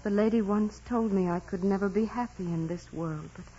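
An actress playing a humble, innocent peasant girl, soft, hesitant and sincere, in 1940s radio drama style. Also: medium pitch, moderate pace, close to an everyday voice. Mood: artless, sincere. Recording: muffled, noisy vintage radio broadcast.

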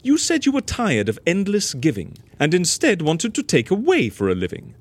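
Flamboyant male fixer, extremely animated, teasing, highish voice, rhyming audio drama. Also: normal speed, heavily acted. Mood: teasing, curious. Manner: theatrical, teasing. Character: flamboyant, amused fixer who fakes deaths.

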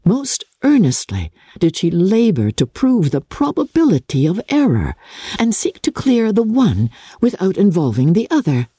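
A woman reads literary narration in a low, mellow voice. Her delivery is measured and formal yet dramatic and expressive, in a close, dry recording. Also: husky, no echo. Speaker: audiobook narrator.

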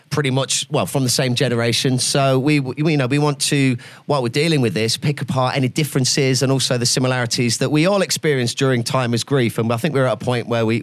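A man's voice: medium pitch, dry recording. A man hosting a podcast, asking questions in a chatty, rambling way. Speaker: podcast host. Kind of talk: casual podcast interviewing.

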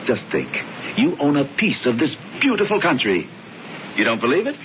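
A man plays an assured schemer in an old radio play, brisk and coaxing with widely swinging intonation. The sound is dull, with faint noise underneath. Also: mid-range voice, big pitch swings, natural voice. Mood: upbeat, reassuring. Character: George, confident, scheming, unflappable brother. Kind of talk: 1970s radio drama acting.

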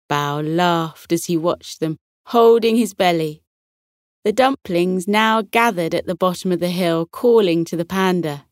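A woman narrating a children's bedtime story in a gentle, expressive storytelling manner. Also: lowish voice, no echo, clean studio sound.

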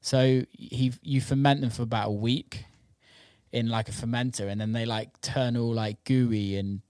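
A man's voice: medium pitch, steady tone, normal speed, darkish tone, no room sound, studio quality. A British man chatting casually and informally, enthusiastic and given to swearing. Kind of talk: casual chat about food.

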